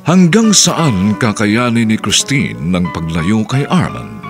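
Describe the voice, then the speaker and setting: A man's voice: fairly low pitch, very animated, slow pace. A man with a Filipino accent narrating and announcing a radio drama in a formal, theatrical scripted style. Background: music.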